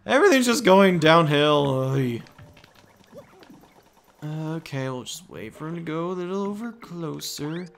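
A man's voice: fairly high pitch, lively intonation, unhurried, gravelly, slight background noise. A young adult man giving casual, rambling commentary as he plays a video game. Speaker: let's play host. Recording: game music behind voice. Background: video game music.

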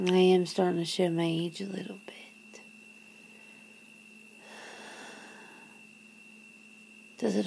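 A woman acts out a wry, guarded lover in an intimate ASMR roleplay. Her voice is mellow and breathy, with a lot of fry, often falling to a whisper. She talks casually and haltingly over noticeable background noise. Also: mid-range voice. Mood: hesitant, pondering. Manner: hesitant, sardonic, casual, sighing. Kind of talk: ASMR roleplay, half-whispered one-sided dialogue.

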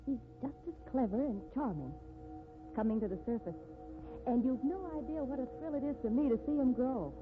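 A woman's voice: sits high, expressive, fairly quick, over music. An actress playing a determined, devoted woman writer, insistent and emphatic in 1950s radio style. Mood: devoted, defiant. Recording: tinny, noisy 1950s radio recording.

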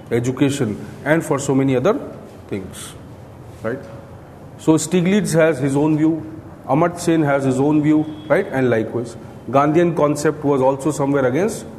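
In a reverberant room with clear background noise, a middle-aged Indian-accented man lectures to a class. His voice sits a little high for a man. He explains step by step and often tags his points with a checking question. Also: a little mellow. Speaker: coaching lecturer. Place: indoor classroom, at a podium beside a screen.

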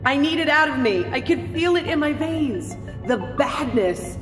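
An actress playing a deranged female hospital patient in an audio drama, speaking in halting, repetitive fragments with intensity. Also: high voice, lively intonation. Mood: frantic, desperate. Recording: roomy sound with music underneath.

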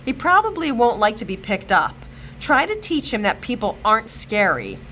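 A woman voicing a calm, kind woman who fosters animals, in a storybook read-aloud. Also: a little high, moderate pace, slight background noise, heavily acted.

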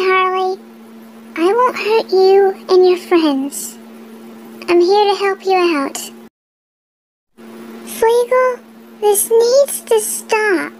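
A young-sounding performer voicing a gentle, timid cartoon elephant, earnest and kindly. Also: extremely high pitch, slight background noise, poor audio. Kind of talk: slow earnest cartoon monologue.

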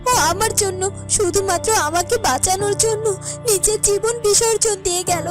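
Cartoon serpent heroine, female actor: high voice, young, tender, earnest, melodramatic, music underneath. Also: fairly even intonation, normal speed. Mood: sad, resolute. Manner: tender, earnest, repeats phrases for emphasis. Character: kind young shape-shifting serpent woman, earnest heroine. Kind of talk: fairy-tale cartoon voice acting.

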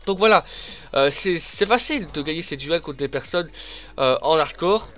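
A man's voice: a little high, animated, moderate pace, sharp tone, faint music behind. A young man with a head cold and a blocked nose, chatting emphatically over a video game as he comments on his own play. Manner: chatty, emphatic, addresses viewers as "les gars". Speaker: gaming commentator. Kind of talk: casual voice-over commentary over gameplay.

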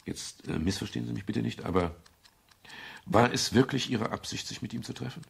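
A man playing a polite but persistent, weary private detective in a 1970s German radio crime drama, with a husky, gravelly voice. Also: mid-range voice, fairly quick, full character voice. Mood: questioning. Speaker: actor, lead and narrator.

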